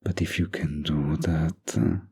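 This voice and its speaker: A man acts out a dominant mafia heir doting on his lover in an intimate audio roleplay. The voice is dark and mellow, full of gravelly fry and husky rasp. He murmurs in a teasing, possessive way. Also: a bass voice, flat delivery, slow, heavily acted. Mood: musing, confident.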